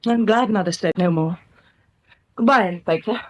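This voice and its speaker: An actress with an English accent playing a composed, guarded married daughter in a radio crime drama, with a cool, formal, wry delivery. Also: female voice, medium pitch, moderate pace, a little mellow, lightly acted. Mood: troubled, confiding.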